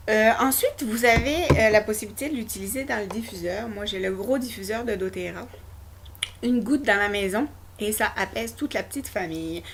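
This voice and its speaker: A woman's voice: mid-range voice, a little hiss or hum. A woman with a Québécois accent, casually presenting a product straight to her viewers in a chatty, informal way. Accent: Québécois French. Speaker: essential oil presenter. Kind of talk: casual product demo vlog.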